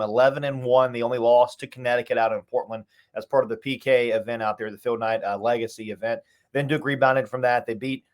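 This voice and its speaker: Fast-talking male sports broadcaster guest. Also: mid-range voice. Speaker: radio play-by-play announcer, guest. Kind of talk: fluent sports analysis in interview.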